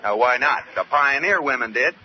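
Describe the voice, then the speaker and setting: An actor playing an earnest, needling American husband with an emphatic, lecturing delivery in 1940s radio comedy style. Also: male voice, mid-range voice, animated, moderate pace, close to an everyday voice. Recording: muffled, hissy old radio recording.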